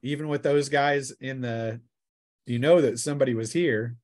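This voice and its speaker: A man's voice: medium pitch, fairly even intonation, normal speed, a little mellow. A man narrating a tabletop role-playing game in a casual way as game master.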